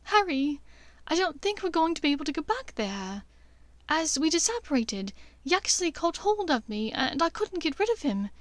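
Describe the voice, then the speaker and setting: A woman's voice: high-pitched, sing-song, moderate pace, no echo, voice pushed up. A woman voicing an anxious young witch, urgent and pleading, in an audiobook story. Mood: shaken, anxious.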